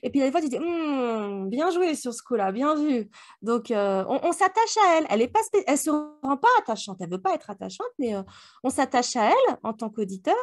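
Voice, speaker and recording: A woman's voice: high voice, big pitch swings, brisk. A woman co-hosting a book club podcast, chatting casually and reflectively, often posing rhetorical questions. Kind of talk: casual book discussion chat.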